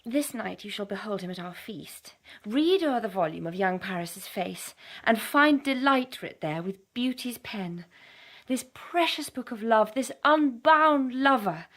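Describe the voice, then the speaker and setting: A woman playing a commanding, brisk aristocratic mother in a Shakespearean audio drama, formal and persuasive in her delivery. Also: a little high, animated, fairly bright tone, exaggerated voice. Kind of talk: Shakespearean full-cast audio drama acting.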